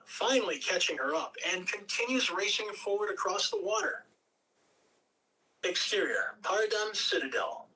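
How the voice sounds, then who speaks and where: Woman, script narrator, animated intonation, bright tone, a little distant from the microphone. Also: medium pitch. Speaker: narrator reading stage directions. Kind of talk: scripted narration at a table read.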